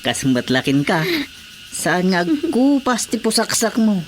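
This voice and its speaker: A woman's voice: medium pitch, big pitch swings, a little slow, slight background noise. Woman acting a teasing Ilocano countrywoman. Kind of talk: Ilocano radio comedy drama acting.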